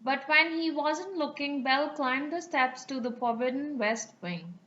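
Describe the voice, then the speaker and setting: A woman narrating a children's fairy tale as an expressive, dramatic storyteller. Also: high-pitched, measured pace, lo-fi sound, a little hiss or hum.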